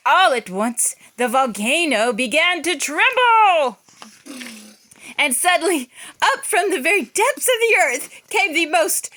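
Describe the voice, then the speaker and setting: A woman's voice: extremely high pitch, very animated, slow, sharp tone. A woman reading a children's picture book aloud in a dramatic storytelling style with theatrical emphasis. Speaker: children's read-aloud reader.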